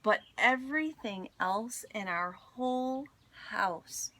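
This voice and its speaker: Expressive woman reading aloud, unhurried. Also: a little high, slight background noise. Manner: expressive, deliberate storytelling with dramatic pauses. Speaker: teacher reading aloud to students. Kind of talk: children's story read-aloud.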